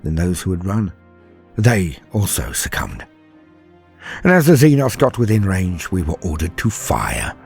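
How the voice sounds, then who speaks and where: A low, husky soldier, acted by a male storyteller recounts a sci-fi war horror. He sounds haunted, weary and afraid, with grave, theatrical phrasing over faint music. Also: huge pitch swings, a little slow, darkish tone, heavily acted. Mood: horrified, grim. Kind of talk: dramatic scripted horror storytelling.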